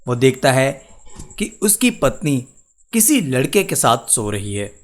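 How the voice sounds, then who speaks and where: A man works as storyteller for a cartoon moral tale. His voice is of average pitch. The delivery is scripted narration, moving from scene to scene. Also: big pitch swings, unhurried.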